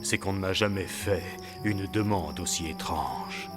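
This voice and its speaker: A man voicing a gruff, easygoing common workman in an animated fairy tale, with a familiar manner. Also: low voice, unhurried, over loud music. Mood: bemused.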